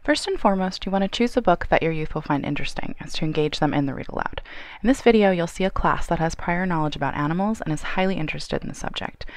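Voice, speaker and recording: A woman reading scripted instructional narration in a clear, explanatory way. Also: lowish voice, animated, quick pace. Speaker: narrator of a training video.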